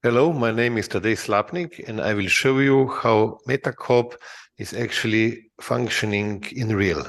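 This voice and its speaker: A man walks listeners through a software demo, halting often on fillers. He speaks very slowly, and his voice has a gravelly fry. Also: lowish voice. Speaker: presenter. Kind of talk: recorded product walkthrough.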